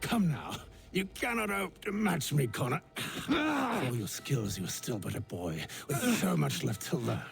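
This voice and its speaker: An actor playing a proud, cold older Templar father in a video game, speaking in a measured, eloquent, condescending way. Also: on the high side, wildly swooping pitch, gravelly, music in the background, heavily acted. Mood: taunting. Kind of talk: dramatic video game acting.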